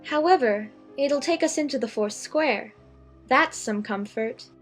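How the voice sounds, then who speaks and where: A high-pitched, earnest little girl, played by a woman, curious and polite with a slightly anxious edge. It is storybook acting with soft music behind. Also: unhurried. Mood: nervous. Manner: earnest, questioning. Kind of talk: dramatized children's audiobook acting.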